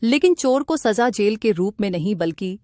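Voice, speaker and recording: A woman's voice: mid-range voice, animated, moderate pace, darkish tone, no room sound, studio quality. A woman reading scripted narration for a story as its narrator.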